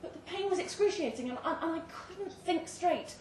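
A woman giving an expressive, theatrical reading of a suspenseful short story at a live indoor event. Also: very high voice, normal speed. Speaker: story reader. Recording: roomy live venue, distant mic, faint noise.

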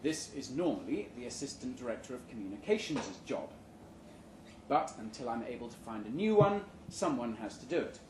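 Male live fiction reader, deadpan comic timing, lively intonation, recorded in an echoey room with faint background noise. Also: medium pitch, moderate pace. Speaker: reader of a short story at a live event. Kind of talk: live dramatic short story reading. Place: indoor room at a live literary event.

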